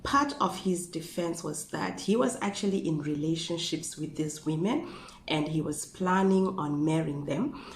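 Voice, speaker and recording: Low hoarse zimbabwean lady with a flu, chatty crime storytime, echoey little room. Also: a little mellow. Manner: chatty, asides and rhetorical questions. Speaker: true crime vlogger. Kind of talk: casual true crime storytelling. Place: small room indoors.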